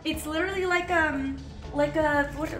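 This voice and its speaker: Young woman vlogger, high bright voice, a bit nasal from a cold, bubbly and gushing, recorded in an echoey room. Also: fairly even intonation, moderate pace, faint music behind. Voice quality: nasal from recent illness. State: getting over a cold. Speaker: vlogger giving a house tour. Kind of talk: casual chatty house tour. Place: bright home interior, indoors.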